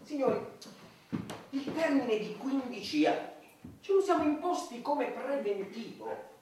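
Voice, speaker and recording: An actor playing a blustering, warlike military official in a satirical play, repeating himself emphatically. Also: male voice, very high-pitched, very animated, a little slow, full character voice. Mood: agitated. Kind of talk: satirical stage acting. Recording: very echoey, distant, faint noise.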